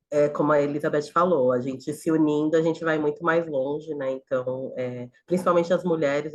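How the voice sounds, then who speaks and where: A Brazilian woman speaking as a guest teacher on a video call, eager and excited, with small restarts. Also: sits low. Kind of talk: friendly video-call talk.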